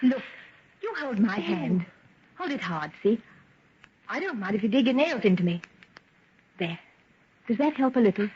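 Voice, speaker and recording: An actress playing a kindly, genteel young woman, gentle and coaxing, in a vintage radio drama. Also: fairly high pitch, big pitch swings, moderate pace, long pauses, a little hiss or hum. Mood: tender, soothing. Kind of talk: golden age radio drama acting. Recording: dull, muffled vintage radio recording.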